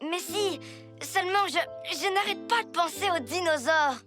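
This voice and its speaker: A performer voicing an eager, dreamy young rabbit child in a French preschool cartoon, with expressive exclamations and an impatient but apologetic nature. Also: extremely high pitch, normal speed, over music. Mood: apologetic, fretful.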